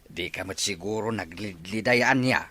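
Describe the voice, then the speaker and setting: A man's voice: fairly low pitch, normal speed, sharp tone. A man playing a doting old grandfather in an audio drama, encouraging and pious, with an Ilocano accent. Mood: fond. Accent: Ilocano Filipino. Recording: faint background noise.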